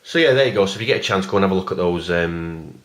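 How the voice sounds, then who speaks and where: Deep-voiced man, rambling review. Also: fast talker. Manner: rambling and hesitant, many fillers; plain, even delivery. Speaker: toy collector reviewing a figure. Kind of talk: casual video review.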